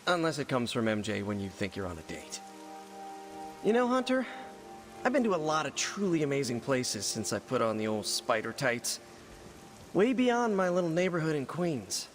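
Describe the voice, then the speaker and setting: A man's voice: medium pitch, sing-song, moderate pace, fairly bright tone, faint music behind. A man playing a wisecracking young American superhero in a video game, earnest and self-deprecating. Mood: wistful joking. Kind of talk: video game cutscene acting.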